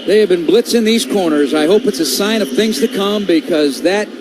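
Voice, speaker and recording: A man with an American accent announces football play by play for radio. His voice sits well above the usual male pitch, and there is clear background noise of the venue around him. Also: expressive, normal speed. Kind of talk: live radio football commentary.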